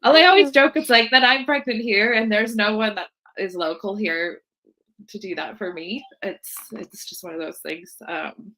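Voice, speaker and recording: A woman's voice: medium pitch, moderate pace, sharp tone, rough recording. An American woman in her thirties, chatting casually as a guest in an interview. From United States, Seattle area. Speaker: podcast guest, birth worker.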